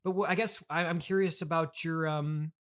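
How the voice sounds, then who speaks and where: Podcast host, male. Crisp bright tone on the high side, courteous but fumbling through questions. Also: steady tone. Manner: halting, polite, flustered. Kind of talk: improvised comedy interview.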